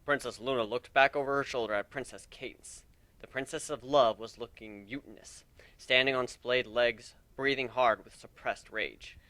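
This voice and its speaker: A man's voice: mid-range voice, fairly even intonation, moderate pace, pushed and bright, no room sound. A man narrating a fan fiction story in a scripted, dramatic reading style.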